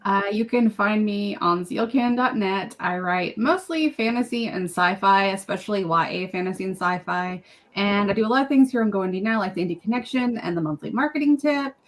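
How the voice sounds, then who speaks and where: A woman author and publisher introducing herself in a brisk, matter-of-fact way. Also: medium pitch. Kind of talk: casual panel introduction.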